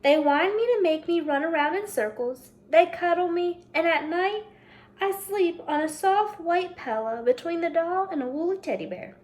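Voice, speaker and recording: A woman's voice: extremely high pitch, moderate pace, pitched above the performer's own voice. A woman voicing a proud, contented male wind-up toy mouse in a storybook read-aloud.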